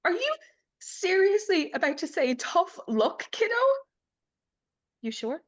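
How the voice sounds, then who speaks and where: A woman playing a sardonic, anguished mother in a dramatic table read, emphatic and halting when upset, on dull lo-fi audio. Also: very high voice, very animated, exaggerated voice. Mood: distraught. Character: Lily, sardonic young mother, anguished, fiercely emotional. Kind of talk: live screenplay table read, dramatic acting.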